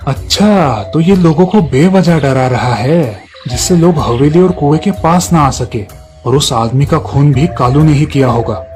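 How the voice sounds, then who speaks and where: Man acting earnest village woodcutter, fairly high voice. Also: measured pace, darkish tone, soft background music, lightly acted. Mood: indignant. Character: worried, righteous village woodcutter father.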